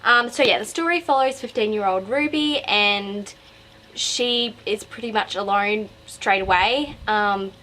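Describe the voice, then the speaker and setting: A woman's voice: medium pitch, unhurried, bright, forceful tone, a little hiss or hum, rough recording. A young woman with an Australian accent, talking casually and digressively to camera as a book review vlogger. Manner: rambling, digressive, self-interrupting.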